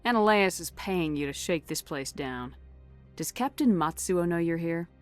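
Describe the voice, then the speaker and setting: An actress playing a composed, assertive military commander in a science fiction game, with a direct, matter-of-fact delivery. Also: female voice, fairly low pitch, lively intonation, moderate pace, soft background music, close to an everyday voice. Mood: composed, firm. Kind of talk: scripted video game dialogue.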